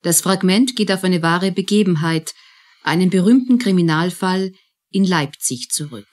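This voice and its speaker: A woman reading scripted narration in a measured, composed manner. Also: fairly low pitch, a little mellow.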